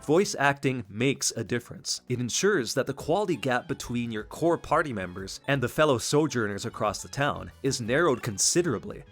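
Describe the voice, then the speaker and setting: A man hosts a scripted gaming video essay. His delivery is animated and enthusiastic, slipping into conversational asides, with light music underneath. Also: mid-range voice. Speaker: video game essayist and channel host.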